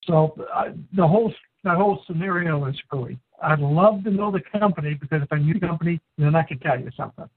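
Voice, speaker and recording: A man's voice: a little high, quick pace, few pauses, slightly rough, no echo. A man co-hosting a casual diving talk show, blunt and incredulous, in a folksy way of speaking. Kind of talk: casual opinionated discussion. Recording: dry, tinny phone-like sound.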